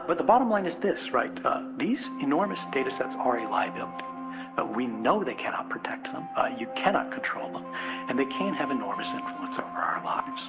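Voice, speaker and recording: An American man giving an informal, unscripted public speech in a conversational, emphatic way, often checking in with rhetorical questions. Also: medium pitch. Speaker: conference speaker. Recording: speech with music laid underneath. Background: music.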